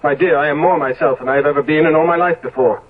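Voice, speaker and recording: An actor playing a vain, callous young English gentleman in 1970s radio drama, with a disdainful, theatrical manner. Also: fairly high pitch, steady tone, fast, close to an everyday voice. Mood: cold, cruel. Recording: muffled, noisy old radio broadcast recording.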